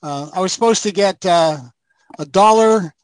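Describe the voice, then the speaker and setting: A man's voice: high voice, moderate pace, dry recording. An older man joining a show remotely, telling stories in a jokey, chuckling way. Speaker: guest. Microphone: remote call mic.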